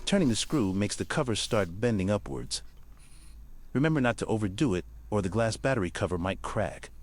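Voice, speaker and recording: A man's voice: lowish voice, dry recording. A man giving scripted instructional narration, guiding the listener carefully through each step. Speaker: repair tutorial narrator.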